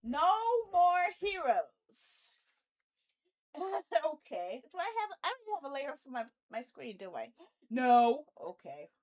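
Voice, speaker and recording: A woman chatting casually on a live game stream, playful and animated, often muttering to herself. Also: high voice, takes it slowly.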